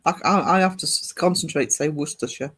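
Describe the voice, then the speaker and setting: A man's voice: on the high side, quick pace, a bit bright, slightly rough. A man with a British English accent chatting casually and ramblingly with livestream viewers, reading and answering their comments. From northern England. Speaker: livestream host.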